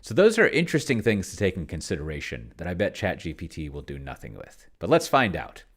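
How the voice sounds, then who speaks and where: Male game commentator, notably low-pitched, casual and rambling, talking himself through each decision. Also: huge pitch swings, fairly quick. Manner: rambling, musing to himself as he works. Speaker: solo tabletop role-player and host. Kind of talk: casual think-aloud gameplay commentary.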